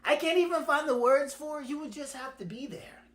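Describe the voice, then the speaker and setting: A woman voicing excitable, gullible birds in a folktale, with a gushing, dramatic delivery. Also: high-pitched, brisk, voice pushed up, natural voice. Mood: gushing, condescending. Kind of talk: animated oral storytelling.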